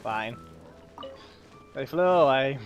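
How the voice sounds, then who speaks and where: A man with a mid-pitched voice gives casual live commentary as he plays a game. He talks slowly and offhandedly, in halting bits, over game music. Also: expressive, dull audio. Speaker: game streamer.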